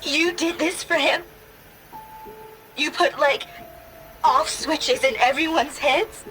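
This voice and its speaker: Casting: wounded daughter, high voice, slow emotional delivery, accusing, faint music under. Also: female voice, pushed and bright, heavily acted, low-quality recording. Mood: accusing, desperate. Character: distraught young daughter confronting her father. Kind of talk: dramatic game cutscene acting.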